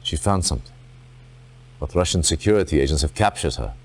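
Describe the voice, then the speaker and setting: A man playing a stern, resolute military commander in a video game, speaking in a formal, dramatic, deliberate way. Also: a bass voice, expressive, heavily acted. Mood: urgent.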